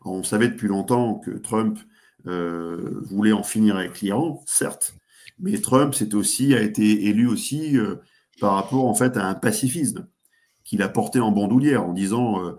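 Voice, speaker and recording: A man with a lowish voice talks through political analysis as a studio guest. He hesitates constantly, circling back with fillers and restarts before landing his point. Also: normal speed, a little mellow. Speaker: guest commentator. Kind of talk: geopolitical analysis in a talk show.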